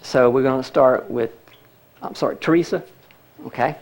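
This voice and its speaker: A man's voice: medium pitch, moderate pace, darkish tone. A man leading a healing seminar demonstration in a church hall, talking the audience through each step and asking them questions. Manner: chatty, explains step by step, asks the audience.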